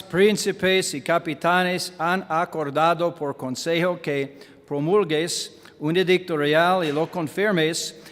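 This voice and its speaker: A man with an American accent, a native English speaker, preaching in a church in halting Spanish with English fillers and self-deprecating humor. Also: fairly high pitch, long reverb tail. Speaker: guest pastor. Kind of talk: sermon with Bible reading. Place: church sanctuary.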